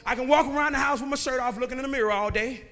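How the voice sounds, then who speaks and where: A man, preaching a sermon that moves between joking talk and shouted calls to the men. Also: extremely high pitch, very fast. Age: 30s. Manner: conversational preaching that builds to shouting, with laughs and rhetorical questions. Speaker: guest preacher. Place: church sanctuary.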